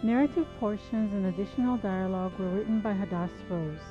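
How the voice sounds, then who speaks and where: A woman reads the writing credits in a plain, even manner. The recording sounds dull and lo-fi, with music clearly behind her. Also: medium pitch, a little fast. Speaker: credits reader. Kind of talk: reading credits.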